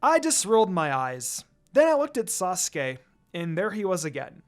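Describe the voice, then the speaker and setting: A fan-fiction reader narrating a first-person story in a dramatic, expressive way. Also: medium pitch, normal speed.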